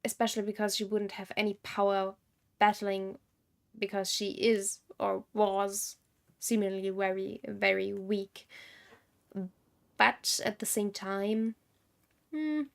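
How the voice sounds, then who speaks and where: Slow-talking woman musing over a game. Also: mid-range voice, fairly even intonation, gravelly. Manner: musing, thinking aloud. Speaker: game streamer. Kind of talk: casual live game commentary.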